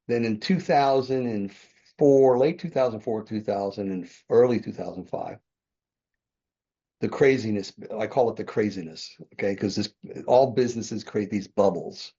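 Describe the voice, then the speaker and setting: Gravelly-toned older man telling his story. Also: fairly low pitch, unhurried, dark tone. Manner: rambling, self-correcting, chuckles often. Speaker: podcast guest, real estate developer. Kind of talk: conversational interview storytelling. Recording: dull lo-fi podcast audio.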